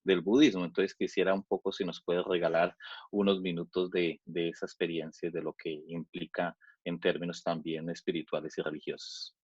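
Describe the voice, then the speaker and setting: A man's voice: sits low, flat delivery, normal speed, darkish tone, slightly rough, dry recording. A man asking polite, roundabout interview questions in a hesitant way, circling and repeating words. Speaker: interviewer, researcher. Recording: dull, dry lo-fi audio.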